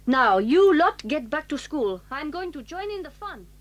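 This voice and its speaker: An actress playing a bold, decisive circus-raised schoolgirl in a children's radio drama, speaking briskly and urgently. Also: high voice, very animated.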